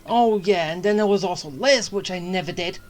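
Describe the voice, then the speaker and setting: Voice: medium pitch, a little fast, soft background music. A video game streamer giving casual commentary, muttering and thinking aloud in a rambling way. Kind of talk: casual gameplay commentary, talking to self.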